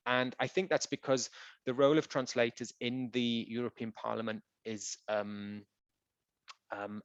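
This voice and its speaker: A man who works as a translator, answering audience questions in a relaxed, conversational way with frequent ums and uhs. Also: medium pitch, no echo. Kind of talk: conversational Q&A presentation. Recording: dull, dry lo-fi audio.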